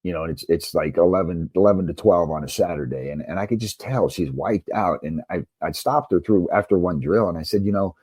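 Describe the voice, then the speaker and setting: American man, deep voice, dark tone, earnest coach explaining through anecdotes, dry close sound. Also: fairly quick, few pauses, slightly rough, no room sound. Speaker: guest, basketball coach and author. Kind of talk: conversational interview, telling anecdotes.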